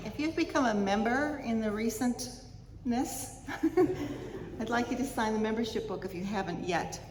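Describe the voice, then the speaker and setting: Lady doing casual church announcements, echoey room, mic is kinda far, noisy. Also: medium pitch. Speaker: congregation member making announcements. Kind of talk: informal spoken announcement. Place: church sanctuary during a service.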